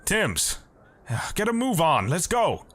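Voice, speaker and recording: A man playing a gruff, curt military major and tracker in a fan audio drama, with a commanding manner. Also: sits high, huge pitch swings, slow pace, fairly bright tone, heavily acted.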